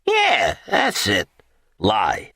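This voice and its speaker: A crass, bullying tabloid boss, barking well above a normal speaking pitch. He is middle-aged, impatient and blunt, with a forceful, rough-edged sound. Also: wildly swooping pitch, drawn-out words, no room sound, clean studio sound, exaggerated voice. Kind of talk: audiobook character acting.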